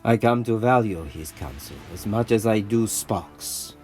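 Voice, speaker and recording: An actor playing a grandiose, idealistic rebel leader who narrates an audio comic drama in a theatrical, deliberate way. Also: male voice, on the low side, expressive, darkish tone, soft background music.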